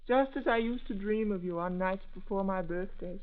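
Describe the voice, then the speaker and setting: A dreamy, excitable teenage girl, acted by a woman, speaks earnestly in a medium-pitched voice. It is theatrical old-time radio acting, heard on a narrow, lo-fi vintage recording. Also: moderate pace, close to an everyday voice. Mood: awed, dreamy.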